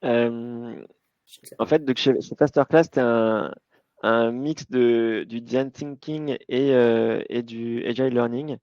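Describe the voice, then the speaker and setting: A man's voice: medium pitch, fairly even intonation, slow pace, a little mellow, no echo. Man on dull, dry call audio. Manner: informal, stammering restarts, storytelling tone. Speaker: company founder and guest speaker. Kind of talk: casual webinar talk.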